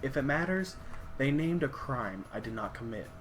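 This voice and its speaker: A man voicing a haunted male swordsman who speaks in a low, cool and measured way. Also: lively intonation, a bit bright, a little hiss or hum, close to an everyday voice.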